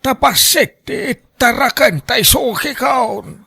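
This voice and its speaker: Casting: performer doing folksy older Ilocano haggler for radio drama, raspy and unhurried, gruff temper. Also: mid-range voice, bright, forceful tone, exaggerated voice. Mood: gruff haggling.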